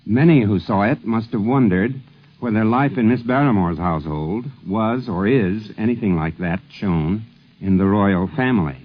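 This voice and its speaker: Low-voiced man narrating, tinny vintage radio. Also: normal speed. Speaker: narrator and host. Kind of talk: scripted radio documentary narration. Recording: tinny phone-like 1950s radio recording.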